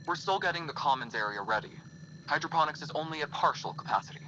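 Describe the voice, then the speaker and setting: Bright, earnest station official. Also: male voice, a little high, expressive, quick pace. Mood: harried. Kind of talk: video game voice acting. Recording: dull game audio, long reverb, faint noise.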